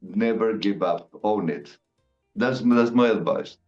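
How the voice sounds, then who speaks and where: A man from Argentina, whose first language is Spanish, answers interview questions in English with short, agreeable replies. His voice sits low, and the sound is slightly dull and lo-fi. Faint music plays underneath. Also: takes it slowly, darkish tone. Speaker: actor guest.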